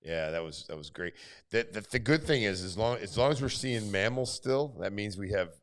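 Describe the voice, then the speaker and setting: A man hosting a casual studio chat, telling stories with lively acted-out quotes. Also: lowish voice, normal speed. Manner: animated storyteller, acts out quotes. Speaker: host.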